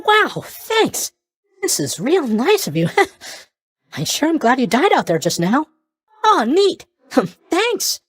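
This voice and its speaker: A man's voice: extremely high pitch, dry recording, full character voice. A man voicing a sleepy, scatterbrained young god of sleep in a video game, airy, hesitant and guilelessly blunt. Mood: delighted. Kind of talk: animated video game character voice lines.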